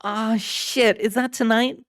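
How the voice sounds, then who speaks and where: A man's voice: very high voice, fairly even intonation, slow, a bit bright, no echo, studio quality, voice pushed up, exaggerated voice. A man voicing a blunt, hard-drinking older woman who talks brashly and swears.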